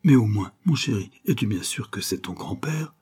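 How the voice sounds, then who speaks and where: A man's voice: fairly low pitch, animated, normal speed, mellow, breathy edge. A man voicing an anxious, tender young mother in an audiobook, with a dramatic, questioning manner. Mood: troubled, tender.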